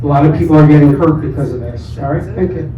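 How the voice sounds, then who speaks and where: A man's voice: fairly high pitch, fast talker, lo-fi sound. A man resident speaking up at a town hall, earnest and insistent. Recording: echoey room, distant mic.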